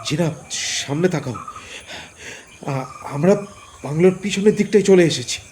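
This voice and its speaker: A man's voice: on the high side, a little slow, a little mellow, a little hiss or hum, natural voice. An actor playing a nervous, hesitant man on the run in an audio horror story, anxious and protesting. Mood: uneasy.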